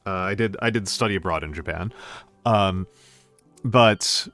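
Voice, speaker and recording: A middle-aged American man chatting casually with stream viewers, pausing often with fillers and restarts. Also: sits low, fairly bright tone, dry recording, faint music behind. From United States. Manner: hesitant, many fillers and restarts. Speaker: VTuber streamer.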